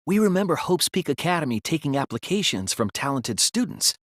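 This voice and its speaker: A thoughtful teenage male detective from a video game, reasons aloud with earnest resolve. His voice is breathy and very dry in the recording, with lively swings of pitch as he deliberately builds and presses each deduction. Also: no echo. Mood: grave, reasoning. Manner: deliberate, reasoning aloud, emphatic when refuting. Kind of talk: English video game voice acting, dramatic deduction scenes.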